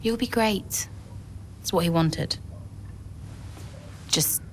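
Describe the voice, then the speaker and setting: Young female racer in a game cutscene, lowish voice, understated n resentful, noisy ambience. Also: takes it slowly. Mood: resigned. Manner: understated, weary, candid. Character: guarded, resentful young female racing driver. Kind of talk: naturalistic video game cutscene acting. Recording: clear background ambience noise.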